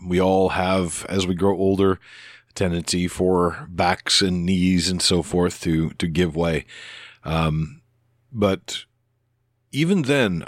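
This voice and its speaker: A man with a deep voice talking casually into a close microphone on his podcast, rambling and hesitant with many restarts and fillers. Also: no room sound. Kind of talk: casual spoken reply to another podcaster.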